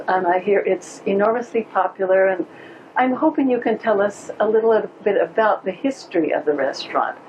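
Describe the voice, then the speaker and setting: A woman's voice: medium pitch, slight background noise. A woman reporting for a local program, interviewing a restaurant owner with encouraging questions and short replies. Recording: slightly dull lo-fi recording.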